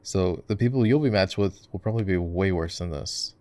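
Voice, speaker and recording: A man's voice: low-pitched, brisk, warm tone, no echo. A man chatting casually in an ASMR-style gaming roleplay, laid-back, encouraging and teasing as he coaches the listener. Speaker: voice actor playing a gamer boyfriend, coaching a game.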